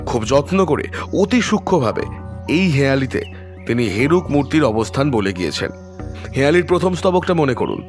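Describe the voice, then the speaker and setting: A man playing a calm, self-assured detective in an audio story, explaining things deliberately and without fluster. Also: mid-range voice, soft background music, natural voice. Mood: composed, explaining.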